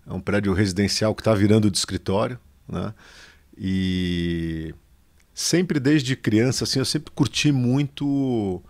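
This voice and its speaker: A middle-aged man speaks in a low, gravelly voice. He talks loosely and at ease, filling his sentences with little hesitations and drawn-out pauses as he tells his story as an interview guest. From São Paulo, Brazil. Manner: relaxed, many fillers, drawn-out pauses. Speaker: guest, advertising agency founder. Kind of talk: casual conversational interview.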